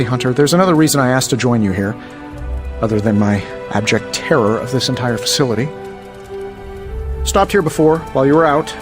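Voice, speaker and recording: An actor playing a flippant superhero inventor in a video game cutscene, sarcastic and chatty with nervous bravado and hesitant asides. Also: male voice, fairly high pitch, lightly acted. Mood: wry, uneasy. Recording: loud music underneath. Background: music.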